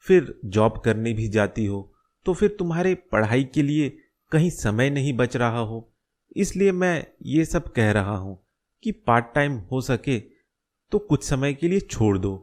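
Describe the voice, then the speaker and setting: Guy doing a cartoon dad, middle-aged, mid pitch, caring and a bit concerned, advising gently. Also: lightly acted. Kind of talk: Hindi cartoon story dialogue.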